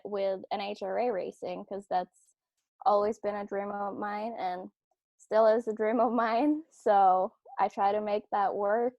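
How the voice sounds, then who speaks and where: A young woman from Norway, a drag racing driver, telling her story in a relaxed interview with fillers and restarts over a remote call. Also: mid-range voice, dry recording. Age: 20s. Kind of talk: casual interview chat. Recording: dull remote-call audio.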